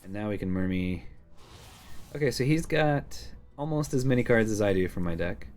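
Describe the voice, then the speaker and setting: A man giving casual strategy commentary on a card game, thinking out loud in musing asides. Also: on the low side, expressive, moderate pace. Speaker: game streamer. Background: game music.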